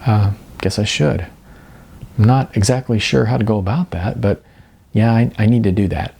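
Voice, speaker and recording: A nervous young woman filmmaker in an audiobook story, voiced by the narrator. She is earnest and cerebral, starting haltingly in a husky, creaky voice. Her manner is shy and fidgety. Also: medium pitch, fairly even intonation, dark tone. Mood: hesitant, shy.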